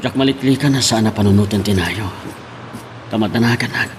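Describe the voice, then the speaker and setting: A man's voice: lowish voice, slight background noise, natural voice. A man playing a lovesick, plaintive husband and father in an audio drama. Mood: worried, sad. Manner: plaintive, drawn-out.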